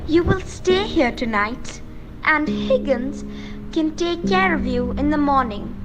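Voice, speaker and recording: A woman's voice: high voice, animated, takes it slowly, noisy background. A woman playing a vain, gracious vampire countess with theatrical airs in a radio play. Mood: sweetly sinister. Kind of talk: amateur radio play acting. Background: music.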